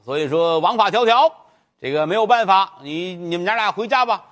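A man's voice: sits high, expressive, fairly quick, a bit bright, voice pushed up. A man voicing a clueless magistrate from old times, flustered and impatient in a comic way. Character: bumbling, clueless old-time county magistrate.

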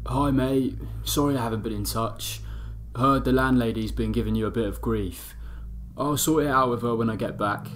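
An actor playing a rough, hard-drinking ex-bouncer housemate who talks bluntly and colloquially in an audio drama. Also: male voice, medium pitch, moderate pace, slight background noise, close to an everyday voice. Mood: subdued. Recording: prominent music under the voice.

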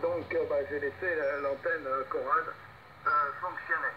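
An older man speaking haltingly and casually as a ham operator over two-way radio. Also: fairly high pitch, steady tone. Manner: halting, hesitant phrasing. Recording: tinny noisy radio link.

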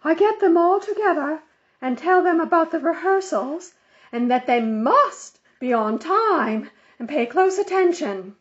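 A woman's voice: sits high, pitched above the performer's own voice. A woman voicing a bossy, self-important middle-aged lady in a storybook, lecturing in a preachy, insistent way. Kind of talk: storybook character voice. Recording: dull, muffled lo-fi audio.

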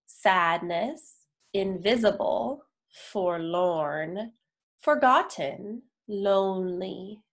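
A young woman reads a children's picture book aloud at a slow pace. Her pitch is average for a woman, and she sets words apart with careful, deliberate pauses. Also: lively intonation. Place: indoor room, on a video call.

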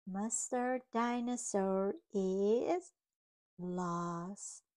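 A woman voicing a practical, gently concerned father pig from a storybook, in a measured, reassuring manner. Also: medium pitch.

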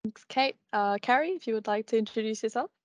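A woman hosting an online webinar, talking with many ums and pauses over a video call. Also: a little high, dry recording. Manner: hesitant, many fillers. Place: home room, video call.

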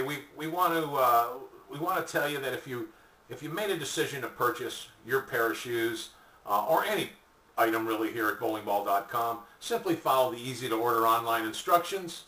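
An older man giving a folksy, conversational sales pitch to camera as a product presenter, seated in a small room. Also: pushed and bright. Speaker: product presenter for an online shop. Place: small indoor room at home or office, seated. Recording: echoey room, distant mic.

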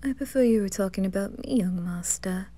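A woman voicing a composed, devoted male demon butler, soft and formally courteous, in an ASMR roleplay. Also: medium pitch, fairly quick, close to an everyday voice. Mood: calm courtesy. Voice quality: soft, whispery.

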